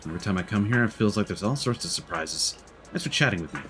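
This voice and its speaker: Low-voiced, lively male game streamer. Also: quick pace. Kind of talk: reading game dialogue aloud with character voices. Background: game music.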